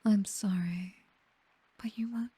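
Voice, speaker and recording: Female actor as British vampire mistress for ASMR roleplay: very soft dark tone, breathy whisper, possessive, condescending, seductive. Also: medium pitch, fairly even intonation, no echo. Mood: cold, tender menace. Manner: slow, teasing, softly commanding. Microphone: close microphone, dry.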